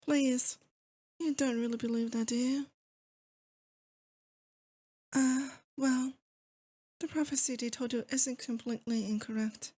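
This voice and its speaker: A woman's voice: high voice, steady tone, measured pace, pauses often, dark tone, slightly rough. A woman acting as a lovesick, possessive demon lord in a romantic ASMR roleplay, intimate and doting with a theatrical menace. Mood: teasing, smug.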